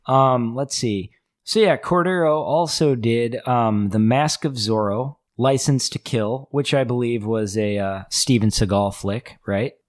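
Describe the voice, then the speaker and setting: A man's voice: mid-range voice, unhurried, dry recording, studio quality. A man co-hosting a casual podcast chat, rambling and hesitant, laughing often. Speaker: podcast co-host.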